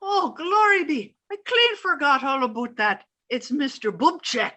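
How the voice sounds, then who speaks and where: A bright, high, sing-song older Irish landlady, played by a woman. She chatters coyly and theatrically, swooping up and down as she gossips in old-time radio comedy fashion. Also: lively intonation, a little slow, full character voice. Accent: put-on Irish.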